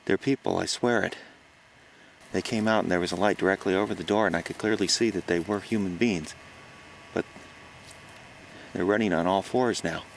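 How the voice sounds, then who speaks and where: Man acting a gravelly, low-voiced frightened reporter. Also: fairly even intonation. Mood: horrified, disbelieving. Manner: halting, stammering, gasping exclamations. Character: frightened male reporter recording his secret night stakeout. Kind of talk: found-recording horror audio drama monologue. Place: outdoors on a farm. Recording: noisy outdoor location recording.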